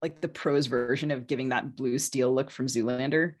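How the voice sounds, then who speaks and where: A woman's voice: very deep voice, fairly even intonation, normal speed, darkish tone. A woman talking casually as a podcast co-host and novelist, informal and self-deprecating, often feeling her way toward a phrase. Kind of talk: casual podcast conversation about writing.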